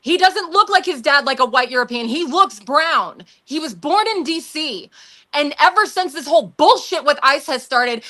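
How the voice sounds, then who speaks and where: Woman on a debate panel, fired up, keeps firing questions, high voice. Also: sharp tone. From Denver, Colorado, USA. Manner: impassioned, pressing rhetorical questions.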